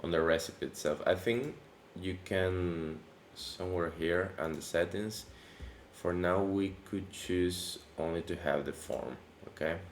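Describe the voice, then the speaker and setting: A man with a very low voice, thickened by allergies, talks through a coding tutorial in a relaxed, chatty way, full of little fillers and restarts. He sounds like he is in an echoey room, with a faint hiss of noise behind him. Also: a little slow. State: voice deepened by allergy. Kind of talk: casual screen-recorded coding tutorial.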